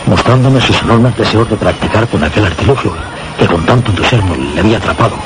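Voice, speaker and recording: An actor with a Castilian Spanish accent playing a shaken man who recounts a supernatural horror in halting, dramatic radio narration. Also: lowish voice, lightly acted. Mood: uneasy. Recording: very noisy radio drama soundtrack.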